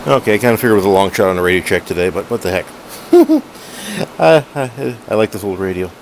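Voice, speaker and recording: A middle-aged man giving a casual, unscripted hobbyist demo at home, halting and rambling as he corrects himself. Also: on the low side. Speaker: hobbyist collector reviewing a radio. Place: room at home, indoors. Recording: noisy room with steady background hum.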